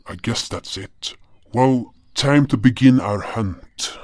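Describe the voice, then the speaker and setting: A man voicing a gruff, hot-tempered little hairy creature guardian in a fantasy audio drama, blustery and exclamatory. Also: medium pitch, slow, darkish tone, no echo, pitched above the performer's own voice, lightly acted. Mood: earnest.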